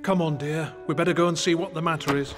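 A man voicing a middle-aged, amiable and bumbling king and father in a preschool cartoon, mild and expressive. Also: fairly high pitch, fast talker, music in the background, close to an everyday voice. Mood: mild, concerned.

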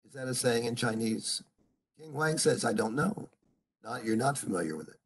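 An older man teaches a seminar over a video call, talking slowly with frequent pauses and fillers. He keeps turning to his students with questions. His pitch sits in an ordinary middle range. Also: animated, a little hiss or hum. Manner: halting, full of uhs, asks students questions. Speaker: professor. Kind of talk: online seminar lecture. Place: home room on a video call. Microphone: video call computer mic.

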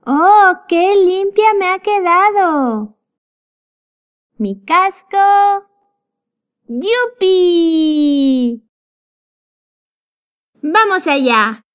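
A woman voicing an excitable little witch girl in a children's cartoon, dramatic and exclamatory, determined but easily frightened. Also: extremely high pitch, extremely slow, long pauses, exaggerated voice. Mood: cheerful, eager. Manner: exclamatory, dramatic, wailing when in trouble.

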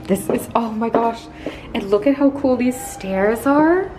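A young adult woman chatting casually in a vlog, gushing and exclaiming in an excitable way. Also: fairly high pitch, a little slow. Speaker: vlogger. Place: indoors, bookstores and an empty room at home. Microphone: handheld camera at arm's length. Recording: noticeable background noise.

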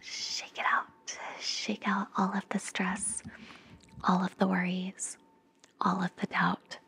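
A young woman whispering gently and reassuringly in a soothing, breathy ASMR style, close to the microphone. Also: mid-range voice, monotone, takes it slowly. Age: young adult. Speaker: ASMR podcast host. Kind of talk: ASMR, soothing guided relaxation and affirmations. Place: indoor room, close up. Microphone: fluffy-covered microphone very close to the mouth.

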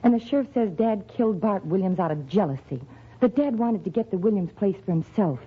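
An earnest, troubled American ranch girl in a radio Western. Her voice is husky and urgent. The recording is very thin and narrow, like a phone line. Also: medium pitch, expressive, a little fast. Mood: distressed, pleading. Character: distressed, earnest rancher's daughter. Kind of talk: 1940s radio Western acting.

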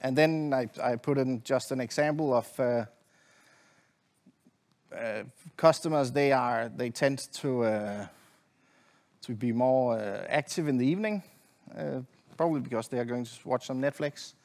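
A middle-aged man giving a technical presentation from a conference stage in a halting, hesitant way. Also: mid-range voice, a little mellow. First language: not Swedish. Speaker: conference presenter, network engineer. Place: conference stage at a lectern, indoors.

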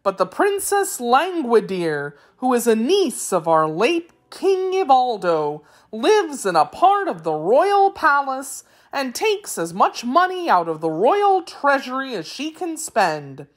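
Storybook creature, male, played by a woman: very high, anxious, pleading, excitable, slow delivery. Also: full character voice. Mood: anxious explaining. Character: fearful, tearful, eager male wheeled creature. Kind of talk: children's storybook character voice.

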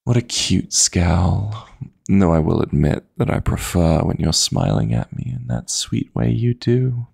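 Man voicing a low, gravelly seductive demon lover. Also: big pitch swings, darkish tone, full character voice. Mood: teasing. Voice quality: breathy, gravelly. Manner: seductive, coaxing, deliberate. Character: possessive, teasing male dream demon lover. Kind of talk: intimate roleplay sleep-aid audio, slow and soft.

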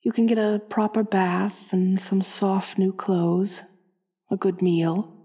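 Woman acting a slow, soothing vampire mistress. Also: medium pitch, steady tone, husky, dry recording, studio quality. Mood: welcoming, gentle. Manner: soothing, genteel, softly commanding. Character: gentle, doting, aristocratic female vampire mistress. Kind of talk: ASMR roleplay, intimate acting.

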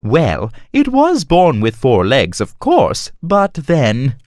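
Children's cartoon: chatty, flattering young traveling man, very animated intonation, unhurried. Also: a bass voice, no room sound, clean studio sound, exaggerated voice. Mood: friendly, chatty. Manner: theatrical, courteous. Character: cheerful, polite young male traveling storyteller, chatty and flattering. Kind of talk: animated children's story acting.

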